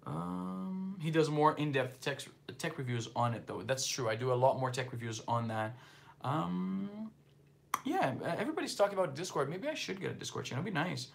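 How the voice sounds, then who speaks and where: A man's voice: fairly high pitch, very animated, moderate pace, gravelly. Casual man with a slight Brazilian accent. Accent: slight Brazilian Portuguese. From northeastern Brazil. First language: Portuguese. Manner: rambling, filler-heavy, loose and conversational. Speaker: livestream host. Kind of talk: casual livestream chat, reading viewer comments.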